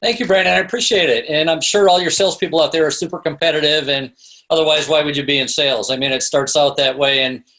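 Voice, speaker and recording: A man's voice: fairly high pitch, steady tone, fast, sharp tone, poor audio. A middle-aged man speaking as an interview guest, telling stories in a casual, chatty, rambling way. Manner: chatty, rambling, peppered with "you know". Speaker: podcast guest, sales expert and author. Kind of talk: casual anecdotal interview storytelling.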